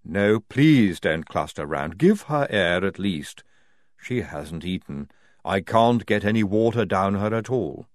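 A man's voice: deep voice, lively intonation, measured pace, no echo, clean studio sound. A man voicing a weary, anxious young woman army medic in an audiobook.

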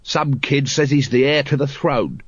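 A man voicing a gruff, weary male city watch captain in a storybook reading, blunt and exasperated. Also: mid-range voice, unhurried, dull audio.

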